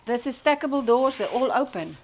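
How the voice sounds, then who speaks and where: A woman's voice: high-pitched, animated, a little fast, darkish tone, reverberant. A woman enthusiastically showing off a guesthouse and teasing her partner. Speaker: co-host guiding a guesthouse tour. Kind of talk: casual walkthrough chat.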